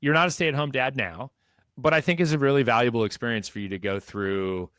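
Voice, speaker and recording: A man's voice: mid-range voice, a little fast, a bit bright, smooth, dry recording, clean studio sound. A male podcast host, curious and affirming, asking long conversational questions. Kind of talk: conversational interviewing.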